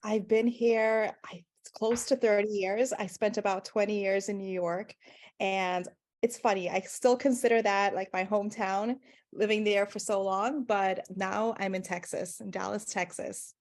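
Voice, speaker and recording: A woman's voice: medium pitch, steady tone, measured pace. A woman in her thirties from Guyana, a pharmacist guest answering interview questions in an earnest, rambling way with many fillers.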